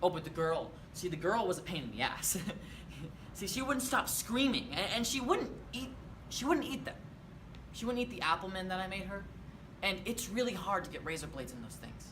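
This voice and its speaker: A man's voice: sits high, big pitch swings, moderate pace. A young man acting a monologue as a disturbed, childlike young man who talks about terrible acts in a matter-of-fact, eerily casual way. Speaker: actor performing a monologue. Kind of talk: theatrical monologue. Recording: distant mic with clear background noise.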